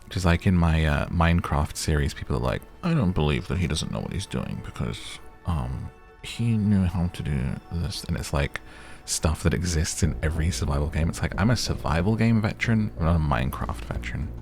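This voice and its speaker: A man keeps up soothing, unhurried gaming commentary. His voice is very deep and creaky, and faint music plays underneath. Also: lively intonation, darkish tone. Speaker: gaming streamer. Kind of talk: relaxing gameplay commentary, gaming ASMR.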